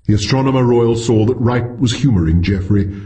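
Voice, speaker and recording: A man's voice: mid-range voice, a little fast, warm tone. A man narrating a short story from a script as an audiobook reader.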